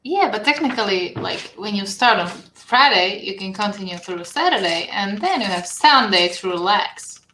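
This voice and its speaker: A woman's voice: mid-range voice, expressive, normal speed, hardly any pauses, echoey room, background noise. A woman from Slovakia with a Slovak accent, chatting casually and laughing easily as a guest artist. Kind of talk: casual chat.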